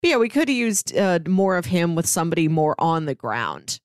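A woman's voice: lowish voice, sing-song, brisk, smooth, no echo. Woman chatting casually, dry close sound. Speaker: podcast co-host. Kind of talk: casual comic book discussion.

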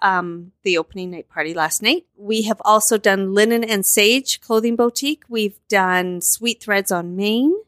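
A woman's voice: mid-range voice, a bit bright, dry recording, clean studio sound. Enthusiastic talkative woman guest. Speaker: guest, show host. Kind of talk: casual interview. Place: film festival.

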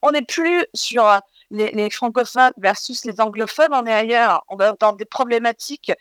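A woman's voice: a little high, moderate pace, fairly bright tone, clear, resonant tone, no echo. A woman film director answering radio interview questions in a hesitant, thoughtful way, full of fillers and repeated words. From living in Toronto, Ontario.

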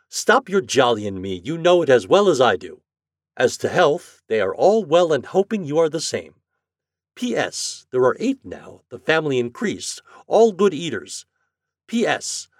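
A stubborn, grumbling Irish express agent acted out by a male narrator from a comic storybook. His folksy speech is emphatic and lifted high, swinging widely in pitch. The sound is close and dry. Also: no echo. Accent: stage Irish.

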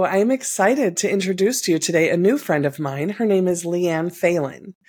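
A woman's voice: fairly low pitch, darkish tone. A woman hosting a podcast who reads a scripted opening and then introduces and questions her guest in an earnest tone. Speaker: podcast host.

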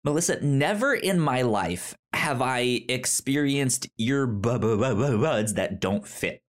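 A man co-hosting a podcast in casual comedic chat, telling stories in a rambling, animated way with stammered repeats and acted-out quotes. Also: mid-range voice, slow pace. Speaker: podcast co-host.

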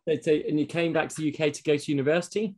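A man's voice: a little high, little pitch movement, racing, a little mellow. A man hosting a podcast interview, asking friendly, conversational questions. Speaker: podcast host.

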